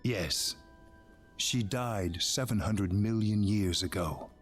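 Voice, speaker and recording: An actor playing a serious, knowledgeable researcher who explains things gently and carefully in a video game. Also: male voice, on the low side, soft background music. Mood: sombre. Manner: measured, expository. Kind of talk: video game dialogue acting.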